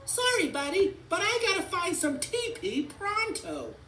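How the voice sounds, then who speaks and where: Very high, panicky toy race car. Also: huge pitch swings, a little slow, sharp tone, slight background noise, full character voice. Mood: urgent panic. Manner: high, urgent. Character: panicky toilet paper tube race car, hurried. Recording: roomy, distant mic.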